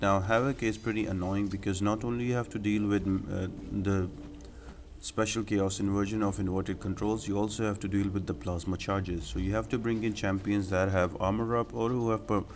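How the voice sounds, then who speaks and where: A man's voice: lowish voice, steady tone, moderate pace, noisy background. A man giving casual, step-by-step game walkthrough commentary in an explanatory, instructional way. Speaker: gaming video creator giving fight tips. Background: music behind the voice.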